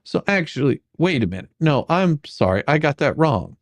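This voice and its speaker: A performer playing a bumbling, sarcastic questioning attorney in a comedic deposition reading, halting and theatrical. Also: medium pitch, sing-song, no echo, lightly acted. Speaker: dramatic reader.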